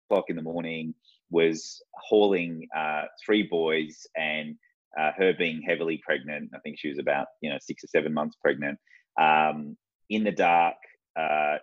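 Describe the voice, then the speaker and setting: An Australian man in his forties, a guest telling his life story in an unhurried, reflective way. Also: very deep voice, dry recording. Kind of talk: casual interview talk. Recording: dull lo-fi sound.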